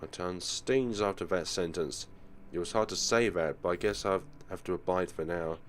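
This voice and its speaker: A man's voice: low voice, moderate pace, slight background noise. A man reading a horror visual novel aloud as a let's play host, in dramatic narration.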